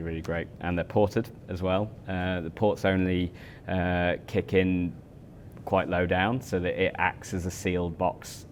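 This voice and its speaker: A man's voice: sits low, a little hiss or hum. A man in his forties explaining a product in a hotel demo room, stumbling over repeated words and fillers. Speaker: speaker company representative.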